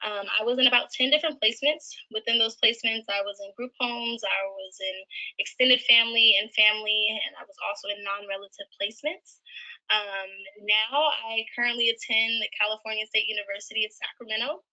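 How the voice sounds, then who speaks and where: A woman's voice: on the high side, fairly even intonation, fairly quick, pushed and bright, rough recording. A woman in her twenties, a former foster youth, gives an earnest, reflective personal testimony in a recorded video. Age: 20s. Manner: earnest, reflective, with frequent um fillers.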